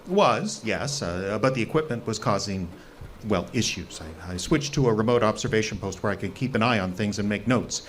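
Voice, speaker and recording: An actor playing an anxious, secretive hermit scientist in an audio drama, halting and stammering as he pleads. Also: on the low side, expressive, a little hiss or hum, close to an everyday voice. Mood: flustered, evasive.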